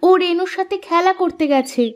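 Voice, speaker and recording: A woman's voice: very high-pitched, moderate pace. A woman playing a caring, reasonable village wife and mother in a cartoon fairy tale. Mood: gently reasoning.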